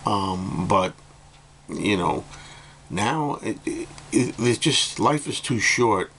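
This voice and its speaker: Low-voiced, slightly hoarse middle-aged man. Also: animated, takes it slowly, fairly bright tone, slight background noise. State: slightly hoarse, just woken up. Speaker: music vlogger reviewing albums. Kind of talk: casual opinion talk to camera. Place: indoor room with bookcases at home.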